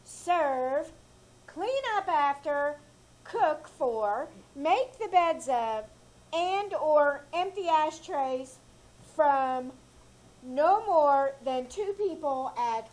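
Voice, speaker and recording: A woman playing a stubborn, sassy housemaid in a live reenactment of an old-time radio comedy, with a deadpan delivery. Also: high-pitched, very slow, heavily acted. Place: small theater stage.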